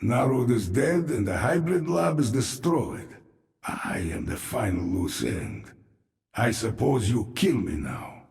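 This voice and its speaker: An actor playing a bitter, sardonic and weary half-alien outcast in a video game. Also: male voice, medium pitch, animated, takes it slowly, vocal fry, a little mellow, echoey room. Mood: resigned. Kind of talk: video game cinematic acting.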